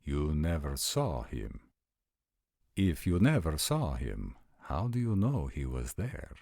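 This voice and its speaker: A man playing a formal, suspicious vampire nobleman with a measured, deliberate manner in an audio roleplay drama. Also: rumbling low, huge pitch swings, creaky, warm tone, no room sound, clean studio sound, exaggerated voice. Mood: suspicious, demanding.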